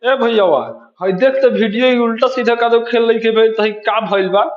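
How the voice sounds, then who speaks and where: A high-pitched Bhojpuri-accented man roasts song clips with cheeky, crude jokes. Music plays clearly under his voice in an echoey room. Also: fairly even intonation, moderate pace. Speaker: roast video host. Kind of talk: comic roast commentary. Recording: roomy, slightly dull, music behind.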